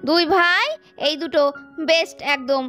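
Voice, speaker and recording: A woman voicing excited young doll women out shopping, chattering in an exclamatory sing-song way for a doll story. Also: very high voice, unhurried, faint music behind, exaggerated voice. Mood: eager, chatty. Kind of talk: doll-story role play.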